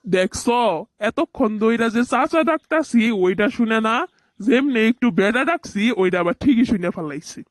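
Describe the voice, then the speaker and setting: A man's voice: high voice, animated, unhurried. A man voicing a grumbling, easily offended older village uncle in a cartoon, scolding in colloquial speech. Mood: indignant scolding. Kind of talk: cartoon voice acting.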